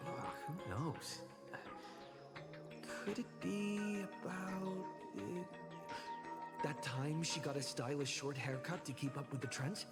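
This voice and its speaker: A man voicing an earnest, expressive young adventurer in a video game, reassuring and a little flustered. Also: on the high side, slow, loud music behind the voice. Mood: unsure. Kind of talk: game voice acting.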